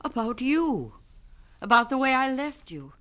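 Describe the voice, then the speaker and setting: An actress playing a guilty, composed young widow in a 1970s radio drama, speaking softly and earnestly. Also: female voice, sits high, very animated, unhurried, exaggerated voice.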